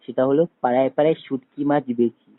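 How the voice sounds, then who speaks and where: A prank caller voicing a silly self-declared poet and fish peddler, goofy and swooping into falsetto on a thin phone line. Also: fairly even intonation, quick pace, no room sound, natural voice. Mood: mock-grand.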